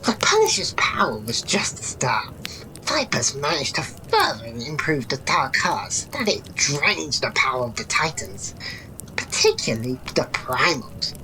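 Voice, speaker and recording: A woman's voice: deep voice, sing-song, unhurried, bright, forceful tone, slight background noise, full character voice, poor audio. A woman playing a playful, sarcastic female jester in a fantasy audio drama, flippant but shrewd. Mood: urgent, sardonic. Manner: sarcastic, giggly, theatrical.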